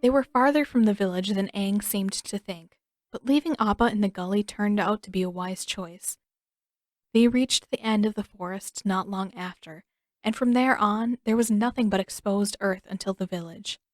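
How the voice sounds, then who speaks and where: A woman reading a scripted story as an audiobook narrator, measured and expressive in her storytelling. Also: medium pitch, no echo, studio quality.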